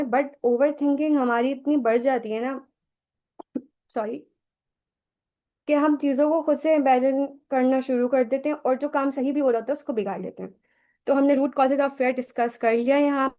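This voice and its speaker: A woman's voice: high-pitched, steady tone, moderate pace, warm tone. A woman with a Pakistani accent giving an online talk over a video call, mixing English phrases into her Urdu in a chatty, teacherly way. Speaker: online session speaker.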